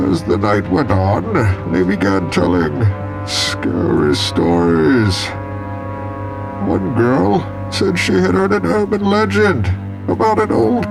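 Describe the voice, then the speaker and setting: Menacing male villain, grand horror storyteller, gravelly, wildly swinging pitch, radio-play drama, music underneath. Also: full character voice. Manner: grandiloquent, dramatic, drawn-out delivery. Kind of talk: theatrical horror storytelling, classic radio style.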